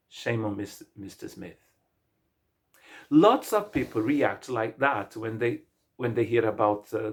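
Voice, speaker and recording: A middle-aged man from Brazil with a Brazilian Portuguese accent, a native Portuguese speaker, preaching a sermon as pastor in an earnest, halting way with many restarts. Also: medium pitch, slightly rough. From Brazil, state of Rio de Janeiro.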